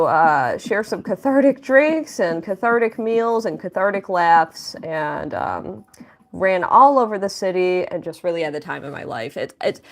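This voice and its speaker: Young woman chatting on her livestream, upbeat, reading out chat, faint music in the back. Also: mid-range voice. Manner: chatty, upbeat, thanks viewers and reads chat aloud. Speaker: livestreaming artist.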